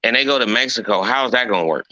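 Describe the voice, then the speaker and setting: A man argues in a casual group debate, folksy and persistent. His voice is very bright and edgy, recorded dry and close. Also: medium pitch, fast talker, no room sound, muffled recording. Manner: folksy, persistent, keeps saying right and okay.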